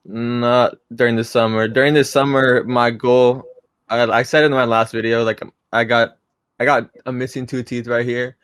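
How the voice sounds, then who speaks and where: A man's voice: medium pitch, moderate pace, clear, resonant tone, dry recording. A young man in his twenties talks casually as a podcast guest. He rambles a bit, restarting his sentences as he goes. The sound is close and dry. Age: 20s. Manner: relaxed, rambling, with frequent restarts and fillers.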